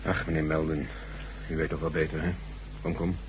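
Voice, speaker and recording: An actor playing an obliging, principled chief computer programmer in a radio drama, measured, courteous and confiding. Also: male voice, very deep voice, completely flat delivery. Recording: noisy, humming old radio recording.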